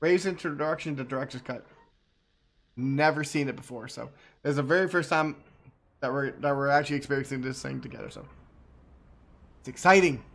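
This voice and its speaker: A man's voice: mid-range voice, fairly quick, creaky, long pauses, fairly bright tone. A man giving casual, rambling game commentary as a streamer, often repeating himself.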